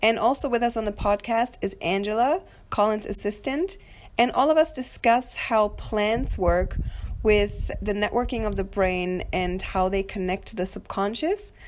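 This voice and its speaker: A woman's voice: medium pitch, normal speed. A woman hosting a podcast, opening the episode and asking her guests questions in a friendly manner. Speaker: podcast host.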